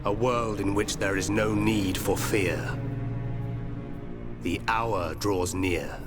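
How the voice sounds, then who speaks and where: A man playing a solemn, imperious leader of a machine people in a video game, speaking gravely and deliberately. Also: fairly low pitch, steady tone. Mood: grave resolve. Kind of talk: dramatic video game cutscene acting. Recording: loud orchestral music behind.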